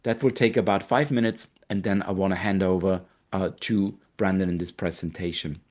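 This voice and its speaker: A man in his fifties from Austria speaks English with an Austrian German accent and a fairly low voice. He hosts a live webinar through a computer mic, earnest and gentle, pausing often on fillers. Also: fairly even intonation. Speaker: webinar host, marketing director. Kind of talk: hosting a live online webinar.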